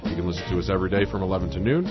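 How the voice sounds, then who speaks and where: An American man introduces a radio show as its host. His voice is fairly low. Loud guitar music plays behind him, and the broadcast sounds dull and lo-fi. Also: racing.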